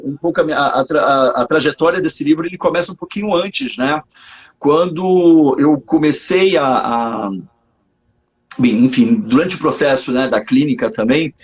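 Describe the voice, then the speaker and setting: A man, hoarse, speaking as a psychologist guest in a casual live interview, hesitant and full of restarts. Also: fairly high pitch, highs cut off. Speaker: psychologist and sex therapist guest.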